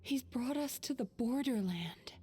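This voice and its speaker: A woman playing a calm, wise young dream healer in a video game, explaining things in a measured, composed way. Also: high voice. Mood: grave.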